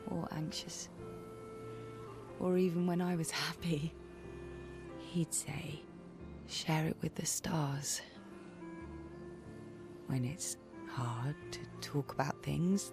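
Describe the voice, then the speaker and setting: A woman voicing a quiet, wistful young woman in a video game cutscene, soft and reflective as she confides. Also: deep voice, heavily acted. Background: music.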